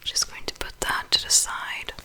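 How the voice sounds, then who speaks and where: A young woman with a Swedish accent, whispering softly and slowly as an ASMR creator. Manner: soft unvoiced whisper, slow and unhurried.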